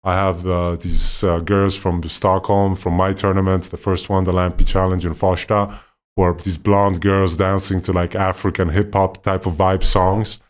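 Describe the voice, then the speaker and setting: A man from Poland with a somewhat low voice, co-hosting a podcast. He talks in a relaxed, rambling way and gets enthusiastic as he explains his plans. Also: normal speed. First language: not Polish; left Poland at five. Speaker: podcast co-host, former basketball player. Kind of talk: casual podcast conversation.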